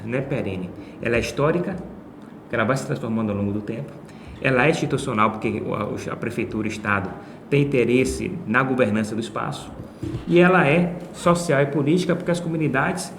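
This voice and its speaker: A man speaking as a guest professor, explaining in an academic but conversational way with emphatic short phrases. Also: medium pitch. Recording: echoey room with background noise.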